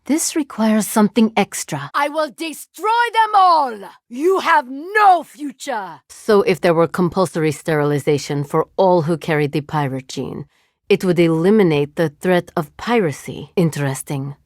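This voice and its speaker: A woman voicing a video game cyborg woman, curious, literal-minded and coolly menacing, in formal, measured phrasing. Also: medium pitch, very animated, no room sound, clean studio sound, lightly acted. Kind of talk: video game character voice lines.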